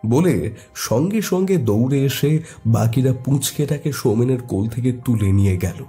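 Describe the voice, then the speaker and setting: A man narrating a scripted horror story in a suspenseful, deliberate, dramatic way. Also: mid-range voice, dark tone.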